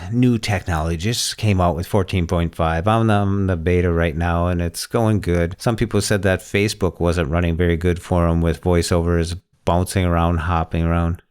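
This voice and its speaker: A man's voice: sits low, steady tone, normal speed, few pauses, darkish tone, smooth. A man hosting a casual tech podcast, talking in an informal, anecdotal way. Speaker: podcast host.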